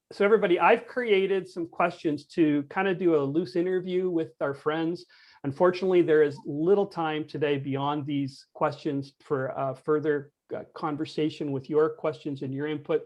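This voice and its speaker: Higher-pitched man hosting a discussion. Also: a little mellow. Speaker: church discussion host. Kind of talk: friendly loose interview, halting.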